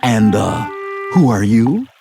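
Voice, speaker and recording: Friendly, folksy, animated storybook fellow. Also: male voice, fairly low pitch, takes it slowly, vocal fry, music in the background, heavily acted. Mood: easygoing. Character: friendly, folksy male storybook narrator and young hero. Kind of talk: animated game storytelling and dialogue.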